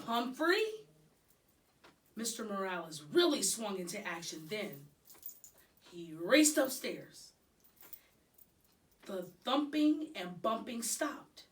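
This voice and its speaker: A woman reads a children's story aloud. Her narration takes its time and moves animatedly up and down in pitch, picked up slightly away from the microphone. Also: distant mic. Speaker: audiobook reader.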